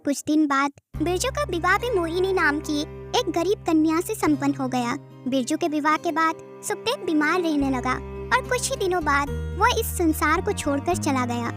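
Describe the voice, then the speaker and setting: A woman's voice: sits high, animated, normal speed. A woman narrating a scripted moral story for children. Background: background music.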